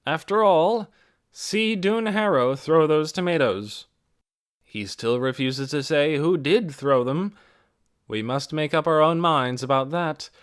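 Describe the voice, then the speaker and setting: A man voicing a steady, businesslike woman who leads her village, in measured, solemn tones for an audiobook. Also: a little high, voice pushed up. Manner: measured, solemn public speaking. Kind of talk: audiobook character voice.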